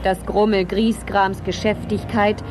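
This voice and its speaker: A woman's voice: medium pitch, level tone, measured pace, noisy background. A woman narrating a children's audio drama in a scripted storytelling style. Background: music.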